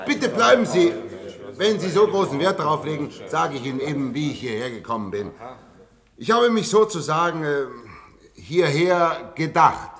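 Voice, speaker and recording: An actor playing a formal, insistent alien visitor in a German science fiction radio play. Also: male voice, a little high, animated, moderate pace, a crowd in the background. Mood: pressed, pleading. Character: solemn male alien visitor posing as ordinary lodger.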